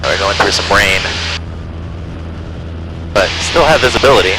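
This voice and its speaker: Casting: male pilot, lowish and very animated voice, talking casually in a noisy small-plane cockpit. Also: unhurried. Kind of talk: casual in-flight remarks and radio calls. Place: small Cessna cockpit in flight. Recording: loud aircraft engine noise. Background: airplane engine drone.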